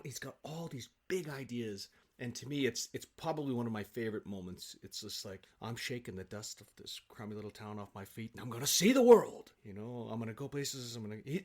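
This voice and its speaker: A man speaking as an interview guest in casual, enthusiastic conversation, telling stories in an animated way. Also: mid-range voice, breathy edge.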